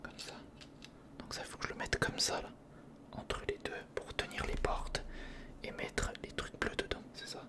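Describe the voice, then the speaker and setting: An ASMR creator speaking in a breathy, unvoiced whisper, casually muttering and thinking aloud through a hands-on build. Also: normal speed.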